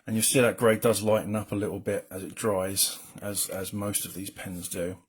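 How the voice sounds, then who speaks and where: A man talks in a low, slightly husky murmur as he draws live. His commentary wanders in a loose, rambling way, full of self-mocking asides, and he speaks into a desk mic. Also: little pitch movement, normal speed. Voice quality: low, mumbling voice. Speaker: comic colorist streaming a drawing. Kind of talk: casual live drawing commentary.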